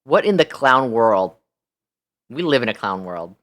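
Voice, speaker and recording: A young American man chatting casually as a live streamer, ranting in an animated, exasperated way and swearing freely. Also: medium pitch, a little slow. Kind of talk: casual livestream chat.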